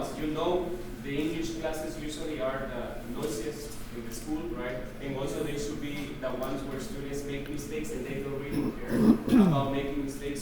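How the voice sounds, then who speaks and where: A man with a Salvadoran Spanish accent teaches in an instructive, interactive lecture style, often checking understanding. His voice sits a little high. It is picked up from a distance in a very echoey room with clear background noise. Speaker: English teacher trainer.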